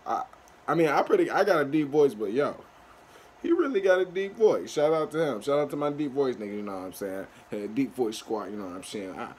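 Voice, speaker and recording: A man's voice: mid-range voice, expressive, a little fast, a little mellow, slight background noise. Slangy young man reacting on camera. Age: young adult. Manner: loose slangy chatter, exclaims and laughs. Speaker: reaction video host. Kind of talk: casual reaction commentary. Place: small bedroom, indoors.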